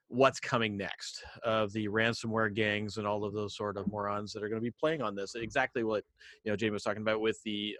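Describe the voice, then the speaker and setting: A man's voice: on the low side, fairly bright tone, no room sound. A man co-hosting a podcast, discussing news casually with emphatic phrasing. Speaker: podcast co-host.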